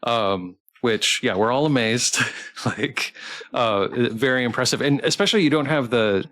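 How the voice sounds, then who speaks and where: Male livestream host, mid-pitched, casual and enthusiastic, stumbling over restarts as he chats. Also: fairly bright tone. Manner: halting, often restarting and repeating words. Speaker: livestream host interviewing a game developer.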